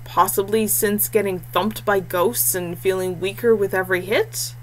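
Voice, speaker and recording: A woman's voice: medium pitch, normal speed, close to an everyday voice, rough recording. Weary, dutiful young fantasy heir read by a female narrator, earnest delivery, audible noise and hum. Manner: tired, earnest. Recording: clear steady background noise and hum.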